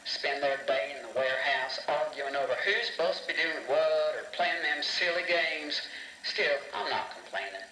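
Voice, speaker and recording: A cranky working stiff on a game audio log. He grumbles in a folksy, forceful voice, on an echoey old tape with faint music. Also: fairly high pitch, moderate pace. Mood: grumbling. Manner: gruff, folksy, complaining. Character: grumbling, cranky working-man studio mechanic.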